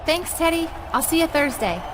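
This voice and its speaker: Casting: earnest, worried young diva for a wrestling game, very high pitch, noisy surroundings. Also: female voice, expressive, normal speed. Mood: polite, hurried. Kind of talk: video game cutscene acting.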